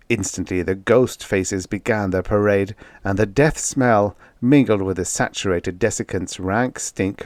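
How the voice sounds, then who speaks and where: Male audiobook narrator for pulp science fiction: unhurried, deliberate delivery, dramatic stress on key words, very dry close sound. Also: deep voice, darkish tone, no echo. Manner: measured, deliberate, dramatic storytelling with drawn-out emphasis. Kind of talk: scripted narration of vintage science fiction short story.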